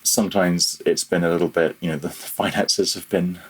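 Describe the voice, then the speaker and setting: Man, deep husky voice, casual interview talk, reflective, halting, modest and self-deprecating. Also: fairly even intonation. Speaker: podcast guest, freelance audio developer. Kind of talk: casual reflective podcast conversation.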